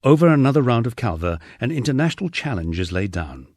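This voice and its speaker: A man's voice: mid-range voice, big pitch swings, quick pace, darkish tone, no room sound, studio quality. A man narrating a humorous memoir as a scripted audiobook reading.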